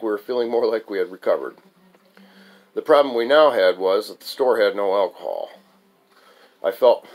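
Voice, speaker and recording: A man's voice: on the low side, a little mellow, rough recording. A man reading his own first-person story aloud as its author, in a recitation style with the occasional stumble over the text.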